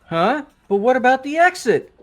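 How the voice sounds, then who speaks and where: A man voicing an excitable, easily confused young guy in an amateur game dub, slangy and incredulous. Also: very high-pitched, moderate pace, faint music behind. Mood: bewildered. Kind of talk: amateur voice acting of a video game.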